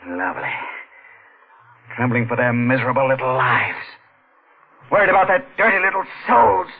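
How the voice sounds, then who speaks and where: A man's voice: medium pitch, lively intonation, normal speed, creaky, slightly rough. An actor playing an eccentric, volatile male composer in a 1940s-style radio mystery, with precise, polite diction and a brooding, theatrical manner. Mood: bitter, gloating. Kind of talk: melodramatic 1940s radio acting. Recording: tinny old radio recording with music.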